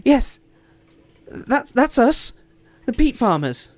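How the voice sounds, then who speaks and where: A man's voice: very high-pitched, big pitch swings, slow, darkish tone, no room sound, faint music behind, pitched above the performer's own voice, exaggerated voice. Man doing a stammering panicked farm woman. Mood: pleading. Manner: stammering, breathless, pleading. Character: frantic stammering female peat farmer.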